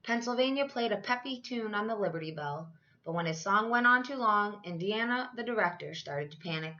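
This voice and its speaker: A woman reading a children's picture book aloud like a teacher, in an expressive, animated manner. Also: medium pitch, fairly quick. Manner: animated, acts out dialogue. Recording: dull, lo-fi sound.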